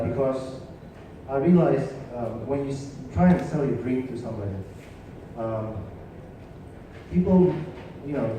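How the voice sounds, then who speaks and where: A man's voice: medium pitch, mellow. A man, a company founder on a panel, speaking reflectively with frequent "um" and "you know". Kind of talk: panel talk. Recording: echoey hall, distant mic, noisy.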